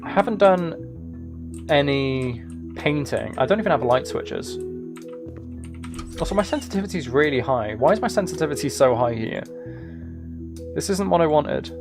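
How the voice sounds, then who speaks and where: A man's voice: fairly high pitch, lively intonation, normal speed, pauses often, over music. A man live-streaming video games, chatting casually to his viewers and thinking aloud as he plays.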